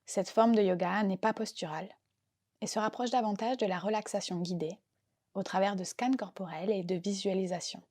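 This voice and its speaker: A woman gently and calmly guiding a relaxation meditation as a yoga nidra teacher, unhurried and soothing in her delivery. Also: medium pitch. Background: soft background music.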